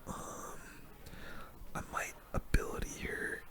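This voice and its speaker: A young man whispering breathy, casual, slangy game commentary in an ASMR style, close to the microphone. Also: slow. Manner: casual, slangy, reacting to play. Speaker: gaming video host.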